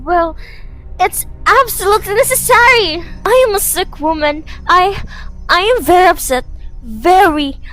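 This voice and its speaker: A woman plays a nervous, imperious invalid wife in a suspense radio play. Her voice is extremely high and agitated, stumbling over words as she frets and demands, with faint music underneath. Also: expressive, unhurried, fairly bright tone, exaggerated voice, poor audio. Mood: upset, anxious. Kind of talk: suspense radio drama acting.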